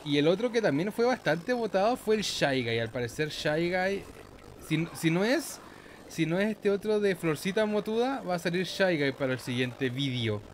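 A man with a Chilean Spanish accent giving casual, excitable let's play commentary in a playful, slangy, exclamatory manner. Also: sits high, moderate pace, a little hiss or hum. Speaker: gaming YouTuber, host.

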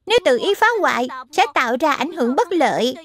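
A woman's voice: sits high, lively intonation, fairly quick, a bit bright. A woman voicing a wise young creature, an instructive big brother figure in a dubbed children's cartoon, with an admonishing manner. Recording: echoey, music behind the voice.

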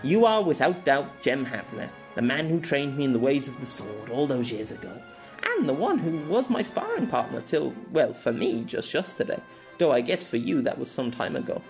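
A man voicing a confident, playful young noblewoman swordfighter in an audio story, soft-spoken but commanding, with grand archaic phrasing. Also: mid-range voice, very animated, normal speed, creaky, faint music behind. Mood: teasing, confident.

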